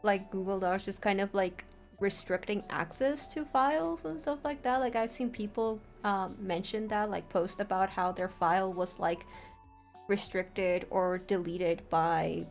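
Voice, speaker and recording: A woman's voice: medium pitch, unhurried, gravelly, soft background music. A woman streamer walking viewers through a writing tool in an informal, meandering way. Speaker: streamer giving a tutorial. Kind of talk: casual livestream walkthrough of a writing tool.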